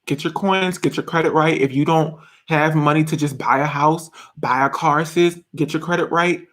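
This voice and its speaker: A man's voice: a little high, steady tone, normal speed, a bit bright. Chatty young man on a live stream. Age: 20s. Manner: chatty, laughing often, frequent fillers and restarts. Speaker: YouTuber reading live chat. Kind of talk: casual live-stream chat. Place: small bedroom, indoors.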